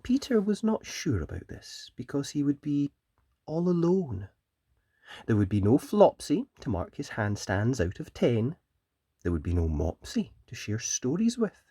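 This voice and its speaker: A children's story reader and host, narrating a picture book in a gentle, deliberate and expressive way. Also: mid-range voice, husky, dry recording, clean studio sound.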